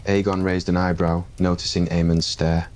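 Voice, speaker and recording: Male ASMR narrator, very low and steady, calm second-person storytelling. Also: normal speed.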